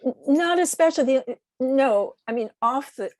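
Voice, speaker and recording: An American woman in a conversational discussion, speaking haltingly as she searches for words and corrects herself. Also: sits high, lively intonation, a little mellow, dry recording. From United States. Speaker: podcast guest.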